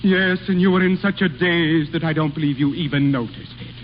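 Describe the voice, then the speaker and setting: Man playing a fussy, high-pitched hotel manager. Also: a little fast, close to an everyday voice. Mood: pompous lecturing. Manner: pompous, scolding. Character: fussy, haughty, exasperated male hotel manager. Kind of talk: 1930s radio comedy acting. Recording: dull, noisy old radio broadcast.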